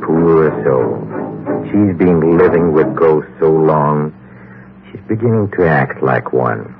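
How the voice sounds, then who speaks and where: Very deep, slow, sinister horror host. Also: male voice, animated, over music, full character voice. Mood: sinister, teasing. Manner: menacing, halting, teasing. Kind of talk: 1950s radio horror narration. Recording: tinny 1950s radio recording.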